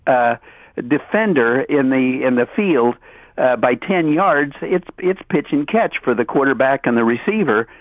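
A man calling in to a sports talk show, chatting casually and wordily, often halting and repeating himself. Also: mid-range voice, expressive, no echo. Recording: dull band-limited phone call on radio.

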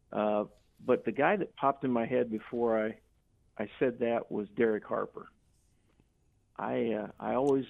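A man's voice: mid-range voice, vocal fry. Older male caller on a thin phone line. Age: 60s or older. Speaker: caller to sports radio show. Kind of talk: casual sports opinion. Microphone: telephone.

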